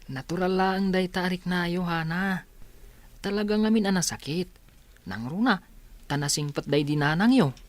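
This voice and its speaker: A man's voice: sits high, moderate pace, dry recording, close to an everyday voice. An actor playing a sympathetic teenage boy, a consoling friend, in a radio drama. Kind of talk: Ilocano radio drama acting.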